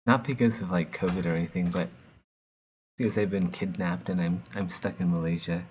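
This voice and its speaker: A man's voice: low voice, fairly even intonation, brisk, darkish tone, slight background noise, poor audio. A man from the United States chatting casually and joking as he works, laughing often between rambling asides. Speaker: artist streaming while sculpting. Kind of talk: casual chat while working.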